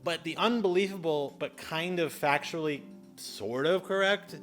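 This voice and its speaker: A man hosting an explainer video in a casual, chatty style, animated and exclamatory, with playful exaggerated asides. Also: fairly high pitch, unhurried, faint music behind. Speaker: video host giving public speaking tips.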